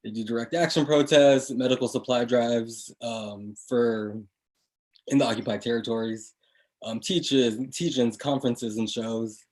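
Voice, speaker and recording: A man's voice: medium pitch, steady tone, measured pace, a little mellow. A young man hosting a casual interview, introducing the guest and asking loose, rambling questions with lots of fillers. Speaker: interview host.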